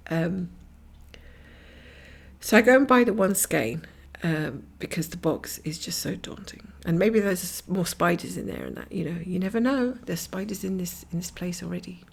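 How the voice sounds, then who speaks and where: Croaky woman from scotland, stuffy nose, rambly stitch chat, gravelly lowish voice, lots of half-whispered bits. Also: moderate pace. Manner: rambling, chatty, often drops to a whisper. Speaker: cross-stitch vlogger. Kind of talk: casual stitch-along chat. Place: at home, camera over her stitching hoop.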